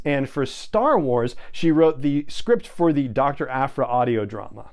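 A man's voice: medium pitch, clean tone. A middle-aged man hosting a podcast, talking to his listeners in an upbeat, effusive way. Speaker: podcast host. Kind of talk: enthusiastic podcast monologue to camera. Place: small home studio room. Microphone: lapel mic.

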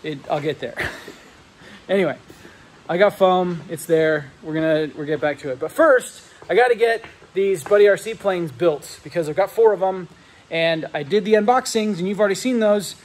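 A man's voice: high-pitched, moderate pace. A man in his thirties filming himself with a handheld phone in a large metal hangar, talking casually and a bit rambling as he explains a model plane setup. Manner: relaxed, rambling, with fillers and restarts, explains technical setup. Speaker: RC plane hobbyist vlogger. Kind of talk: casual walkthrough vlog. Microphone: handheld phone camera held close.

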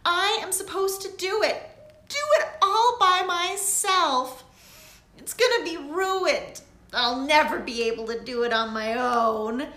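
A woman's voice: slow, exaggerated voice. Woman doing an eager young witch girl. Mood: upset. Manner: high, excited, breathless pleading. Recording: echoey room with soft music.

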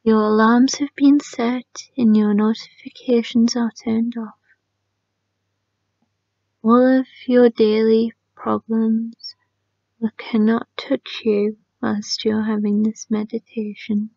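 A woman leading a guided sleep meditation in a soft, calming manner, often trailing off into a near-whisper. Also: on the high side, slow, no echo. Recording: dry, slightly muffled lo-fi sound.

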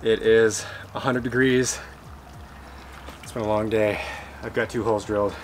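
A man's voice: on the low side, steady tone, moderate pace, a little hiss or hum. A man in his thirties, a van build vlogger, casually explaining his DIY plumbing work to a close handheld camera in a garage workshop, chatty and self-deprecating. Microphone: handheld camera close to face.

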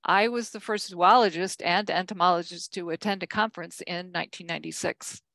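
An older woman giving a conference keynote from slides, measured, with frequent ums and self-corrections. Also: lowish voice, fairly even intonation, a bit bright, no echo. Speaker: keynote speaker.

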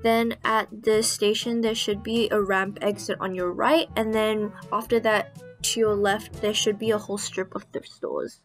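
A woman's voice: medium pitch, level tone, moderate pace, over music. A young woman gives a casual, self-deprecating voice-over for her own vlog. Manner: apologetic, chatty, self-deprecating. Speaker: vlogger narrating as editor.

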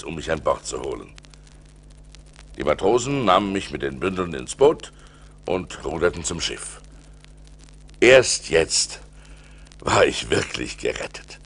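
An actor playing an adventurous seafarer who recounts his perils in dramatic, intense audio play narration, swinging from hushed to urgent. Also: sits low, breathy edge, long reverb tail, heavily acted. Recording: noisy vinyl record transfer.

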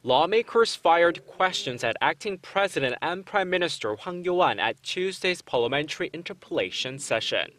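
A man's voice: on the high side, expressive, normal speed, hardly any pauses, fairly bright tone. Young male news reporter. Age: young adult. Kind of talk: scripted news report narration.

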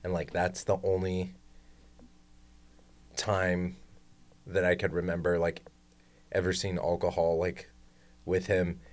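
A young man with a very low voice, chatting loosely and rambling, with plenty of "like" fillers. He speaks into a studio boom mic in a small podcast room. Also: slow, long pauses. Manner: relaxed, rambling, lots of "like". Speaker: podcast guest. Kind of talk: casual chat. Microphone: studio mic on boom arm, headphones.

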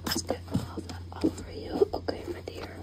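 A woman whispering breathily as an ASMR host, speaking gently and directly to the listener. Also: fairly quick.

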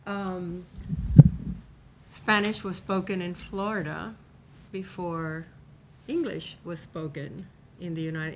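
Cuban-born woman in her 60s, lower voice, chatty lecture style, seminar room with faint rumble. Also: rough recording. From Cuba. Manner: conversational, many fillers and restarts. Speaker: professor giving a talk. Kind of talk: informal lecture on a panel. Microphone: table microphone.

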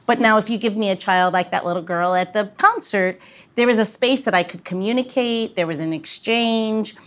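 A woman's voice: medium pitch, normal speed. A middle-aged woman hosting a podcast, chatting casually and bluntly, acting out little exchanges as she talks. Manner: blunt, informal, acts out short exchanges. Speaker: podcast host. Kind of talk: casual chat.

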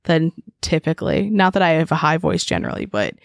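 Raspy, flu-worn woman podcast host. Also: deep voice, big pitch swings, brisk, no echo, clean studio sound. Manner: chatty, sympathetic asides. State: raspy and deeper from recovering from the flu. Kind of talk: casual true crime podcast chat.

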